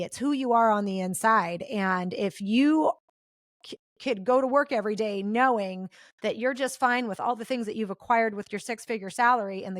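A woman's voice: medium pitch, dry recording, clean studio sound. A woman with an American accent hosting a casual, chatty interview podcast in an earnest, informal and effusive way. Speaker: podcast host.

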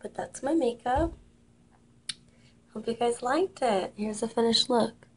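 A woman's voice: a little high, a little slow, slightly rough. A young woman in her twenties chatting casually through a makeup tutorial, sniffly from a cold, recorded on a webcam in a small room. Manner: chatty, offhand, self-deprecating. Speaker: makeup vlogger. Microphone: built-in webcam mic at arm's length.

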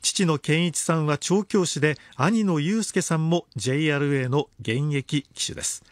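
A man reads the radio news from a script. His voice is a little high and the sound is very dry. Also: moderate pace, no room sound. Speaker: radio newsreader.

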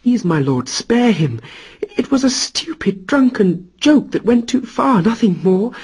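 A man's voice: very high voice, sing-song, a little slow, a little mellow, breathy edge, voice pushed up, full character voice. A man voicing a devoted wife who earnestly begs a god to spare her husband. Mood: begging. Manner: begging, earnest. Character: pleading loyal wife of a trickster god.

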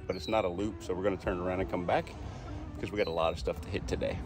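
A middle-aged man with a lowish voice talks cheerfully into a phone held at arm's length while walking outdoors. He narrates a casual travel vlog in a relaxed, friendly way, with music faintly behind him. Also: fast talker. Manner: upbeat, easygoing narration to camera. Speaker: RV travel vlogger. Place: outdoors on an island, streets, patios and trails. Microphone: phone camera at arm's length.

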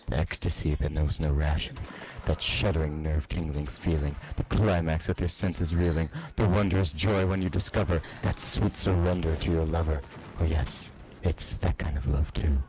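A man reading a romantic poem aloud in a slow, theatrical, dramatic way. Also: extremely low pitch, fairly even intonation, a little mellow, a little hiss or hum, poor audio. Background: music.

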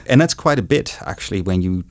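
Low gravelly dutch guy explaining stuff slowly on a podcast, super dry sound. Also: expressive, dry recording. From Netherlands. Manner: measured, explanatory, with some restarts. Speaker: guest road ecologist. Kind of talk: interview answers.

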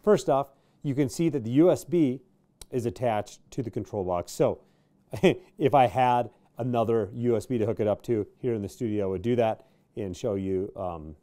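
An American man, around his thirties, giving a casual product demonstration in an informal, halting way with pauses. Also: medium pitch, mellow. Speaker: product review presenter. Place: small indoor workshop studio.

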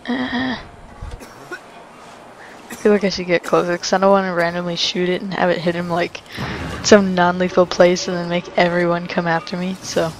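A young woman chats her way through a shooter, rambling and laughing as she plays. Her voice sits a bit low for a woman. Game sounds run clearly in the background. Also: steady tone, moderate pace, background noise. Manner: chatty, rambling, laughs often. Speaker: let's play host. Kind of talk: casual gameplay commentary. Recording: commentary over game sounds.

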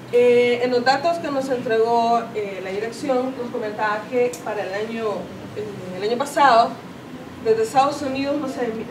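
A woman in her thirties with a Salvadoran Spanish accent, presenting figures to a seminar audience and often pausing to correct and rephrase herself. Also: fairly high pitch. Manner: explanatory, self-correcting, many fillers. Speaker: conference speaker. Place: conference room with audience. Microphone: far camera, no mic seen. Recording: roomy, distant camera audio with background noise.

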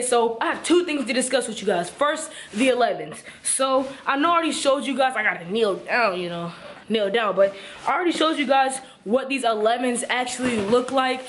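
A man's voice: very high-pitched, lively intonation, brisk, a bit bright, a little hiss or hum. A teenage boy with an American accent, chatting casually and slangily to the camera as a vlogger. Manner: slangy, informal. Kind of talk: casual vlog chat to camera. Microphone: camera at arm's length.

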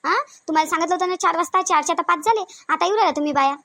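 A woman's voice: very high voice, very fast, sharp tone, no echo, heavily acted. A woman voicing chatty, bossy village women in a Marathi cartoon comedy, with a Varhadi accent and a colloquial, nagging manner. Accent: Varhadi Marathi of Vidarbha.

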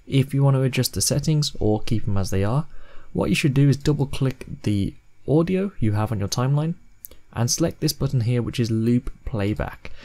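A man in his twenties narrating a software tutorial in plain, step-by-step instructions. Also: mid-range voice, expressive, normal speed. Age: 20s. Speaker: host of video editing tutorials.

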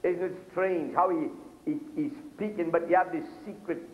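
A middle-aged man preaches from a pulpit in a church, animated and calling out to his congregation. His voice sits a little high. The sound is roomy and echoey, with a dull, lo-fi quality from an old video recording. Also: normal speed. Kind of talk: sermon. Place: church hall with curtains, speaking at a pulpit.

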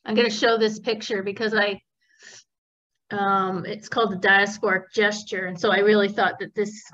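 A woman interviewer poses her questions gently over a video call, nudging her guest along with soft encouragement. Her voice sits at a middle pitch and comes through muffled, with the dull, lo-fi sound of call audio. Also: flat delivery, moderate pace. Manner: gentle, encouraging with frequent mm-hmms.

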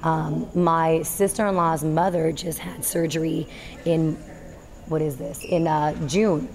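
A woman in her thirties, a girls volleyball coach, giving an earnest postgame interview in a high school gym, working through her thoughts with small restarts. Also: steady tone, takes it slowly, a little mellow. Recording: noisy gym ambience.

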